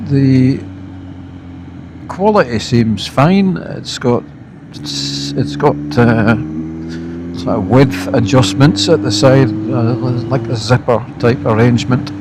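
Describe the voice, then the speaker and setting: A Scottish man giving a casual, unscripted product review as a motorbike vlogger, speaking haltingly in short phrases while riding outdoors. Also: mid-range voice, lively intonation. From Scotland. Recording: loud motorbike wind and engine noise.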